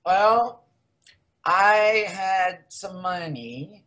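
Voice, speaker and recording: A woman's voice: lowish voice, expressive, drawn-out words, fairly bright tone. An older woman from the United States, a researcher guest in a casual interview, rambling candidly through her story.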